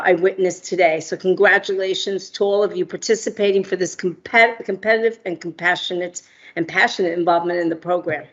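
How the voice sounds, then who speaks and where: Middle-aged woman from Argentina, halting speech. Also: lowish voice, muffled recording. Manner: friendly, frequent ums and uhs. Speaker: guest speaker, entrepreneur. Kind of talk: prepared remarks with fillers.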